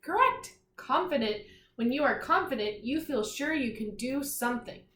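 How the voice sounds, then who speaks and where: A woman teacher giving a read-aloud vocabulary lesson to young children, enunciating deliberately, repeating phrases and putting questions to her listeners. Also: high-pitched, expressive, fairly bright tone. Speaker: primary school teacher. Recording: echoey room.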